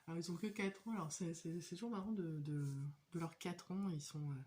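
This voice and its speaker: A woman in her thirties with a cold, chatting casually and hesitantly about her crafting hobby, with many pauses and fillers. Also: lowish voice, darkish tone. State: has a cold. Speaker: hobby vlogger. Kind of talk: casual show-and-tell chat.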